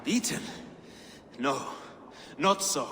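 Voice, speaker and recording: An actor playing a proud, defiant Saxon warrior facing death, speaking slowly and solemnly. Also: male voice, on the high side, animated, in a large hall, background noise. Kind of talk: game cutscene voice acting.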